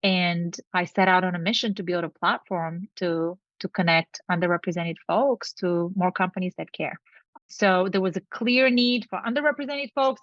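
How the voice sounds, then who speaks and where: A woman's voice: fairly low pitch, a bit bright, no echo. Earnest Bulgarian-born woman on a dull, dry line. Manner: earnest, reflective, speaks in long lists. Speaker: guest, company founder. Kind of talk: interview conversation.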